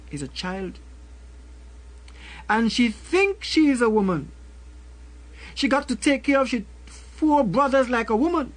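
An older man with a Caribbean accent recites a spoken word poem in a slow, rhythmic way, returning again and again to a refrain, at a desk mic in a small room. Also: high-pitched, low-quality recording. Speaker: poet. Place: small room with a colorful backdrop.

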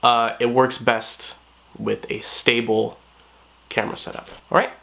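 A young man with a hoarse voice, talking casually to the camera in a small bedroom, rambling with many fillers. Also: mid-range voice, level tone, unhurried, bright, forceful tone. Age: young adult. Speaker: vlogger. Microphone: camera on tripod at close range.

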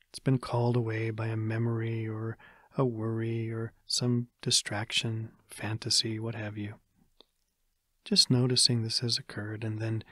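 An American man guides a meditation in a calm, measured manner with long pauses. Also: on the low side, dry recording, clean studio sound. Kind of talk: guided body scan meditation.

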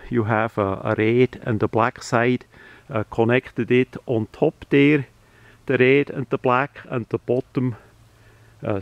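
Man explaining slowly in halting short phrases. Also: mid-range voice, a little mellow, dry recording, dull audio. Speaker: hobbyist presenter. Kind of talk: unscripted how-to demonstration. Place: outdoors, over a ground tarp.

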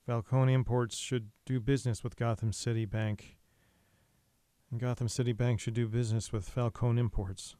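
A man acting in an audio drama as a wealthy man under a hypnotic spell, hazy and halting. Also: medium pitch, level tone, warm tone, dry recording, natural voice. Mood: formal, detached. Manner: halting, stumbling repetitions.

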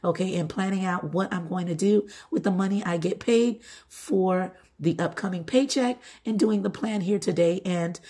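A middle-aged woman with a groggy, hoarse voice from being sick, chatting casually as she hosts her home budgeting vlog. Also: lowish voice, moderate pace, hardly any pauses, warm tone. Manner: chatty, repeats "all right" and "okay". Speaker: budgeting channel host. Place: home, table-top filming.

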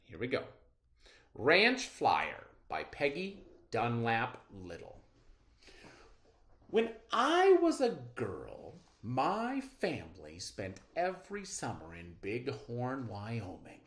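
Middle aged guy teaching reading to kids, takes his time, pitch swings all over the place. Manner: deliberate, expressive, explanatory. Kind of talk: read-aloud reading lesson for children.

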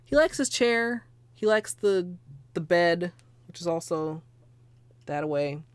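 Hoarse young woman, rambling livestream chatter, dry close sound. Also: fairly low pitch, lively intonation, slow pace, no echo. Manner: chatty, rambling, many "like" fillers. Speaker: nail art livestreamer. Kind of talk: casual livestream tutorial. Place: small home room with space heater.

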